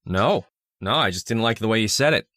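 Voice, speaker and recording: A man's voice: fairly low pitch, animated, brisk, pushed and bright, no room sound, studio quality. An actor playing a touchy, volatile man in an old-time radio drama, insistent and casual in his speech. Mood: needling, defensive. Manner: casual, insistent, swinging between offhand and heated.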